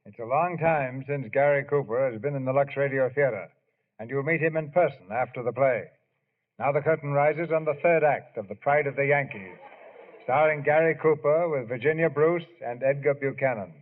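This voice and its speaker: A man's voice: medium pitch, moderate pace. Male 1940s radio theater host, formal and stately, long hall reverb, muffled vintage sound.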